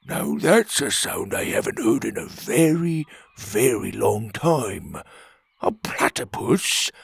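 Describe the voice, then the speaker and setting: A man's voice: mid-range voice, very animated, takes it slowly, vocal fry, husky, dry recording, heavily acted. A man voicing a grumpy old blind lizard. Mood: indignant. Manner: proud, snarling, indignant.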